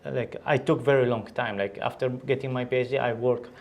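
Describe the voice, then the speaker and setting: A man's voice: mid-range voice, fairly even intonation, fairly quick, vocal fry. Hesitant Syrian man, doctor being interviewed. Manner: hesitant, many restarts and fillers. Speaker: guest, physician. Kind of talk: casual interview answers.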